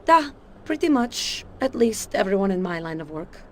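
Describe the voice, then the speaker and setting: An actress playing a cool, confident Soviet KGB agent in a video game, with a Russian accent and a commanding, matter-of-fact manner. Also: female voice, mid-range voice, big pitch swings, normal speed, slight background noise, close to an everyday voice. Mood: cool, assured. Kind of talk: video game cutscene acting.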